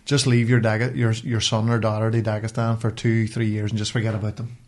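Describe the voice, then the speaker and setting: A man's voice: on the low side, monotone, fairly quick, a little mellow. A man with a Northern Irish accent chatting casually on a podcast, in colloquial language with some swearing. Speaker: podcast host. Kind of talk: casual chat.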